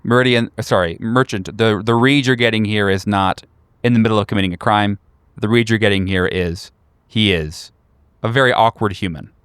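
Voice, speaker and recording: A man narrating a tabletop horror game as game master, describing scenes with halting pauses mid-sentence. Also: fairly low pitch, fairly bright tone, clean tone, no room sound, studio quality.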